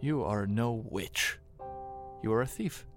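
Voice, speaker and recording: An actor playing a flustered, pious small-town reverend in an audio drama, protesting in halting, formal speech. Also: male voice, fairly low pitch, expressive, soft background music. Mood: accusing. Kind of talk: audio drama acting, period dialogue.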